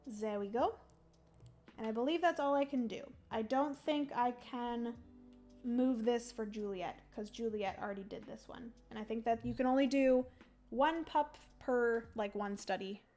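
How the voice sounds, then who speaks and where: A young woman on a livestream, talking through her moves in a solo board game and chatting with viewers, her voice going a little hoarse. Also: a little high, moderate pace, a little mellow, faint music behind. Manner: chatty, thinks aloud, greets chat viewers. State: losing her voice. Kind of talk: casual livestream play-by-play.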